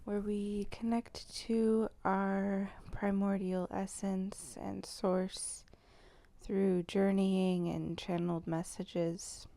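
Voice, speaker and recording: A woman guides a meditation in a very creaky, gravelly-edged soft voice that often drops toward a whisper. Her delivery is slow and halting, with long pauses as she improvises. The tone is mellow and stays fairly even. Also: medium pitch.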